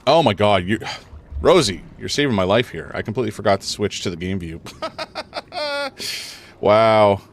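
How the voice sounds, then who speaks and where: A man live-streaming a horror game, rambling through his commentary, chatting with viewers, and reacting loudly to scares, a little congested. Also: lowish voice, normal speed, long pauses. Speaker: gaming streamer.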